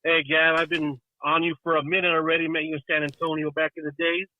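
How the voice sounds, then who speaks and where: Brief: friendly male caller, slightly high voice, tinny phone. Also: little pitch movement, fast talker, dry recording. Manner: friendly and chatty. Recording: dry tinny phone line.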